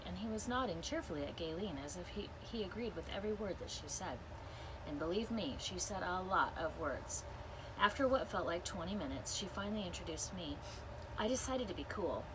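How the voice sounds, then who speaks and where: Female teacher, medium pitch, expressive storybook read-aloud, noticeable ambient noise in an RV. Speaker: teacher reading aloud to students. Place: inside an RV parked in a backyard.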